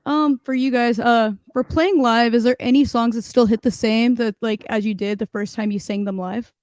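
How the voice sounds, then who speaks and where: A woman's voice: fairly high pitch, fairly even intonation, normal speed, darkish tone, dry recording, studio quality. A young woman hosting an interview, chatting casually with frequent likes and mm-hmms. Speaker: interviewer, podcast host.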